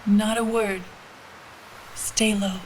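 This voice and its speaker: A woman's voice: medium pitch, little pitch movement, very slow, background noise. A woman playing a terse, commanding bounty hunter and pilot in a scripted audio drama. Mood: curt, guarded. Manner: curt, commanding.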